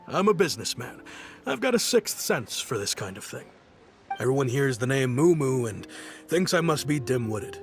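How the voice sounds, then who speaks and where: A man's voice: medium pitch, sing-song, normal speed, music in the background. A man voicing an easygoing, nostalgic male merchant in a video game. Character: wistful grown man merchant, old friend. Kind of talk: game voice acting.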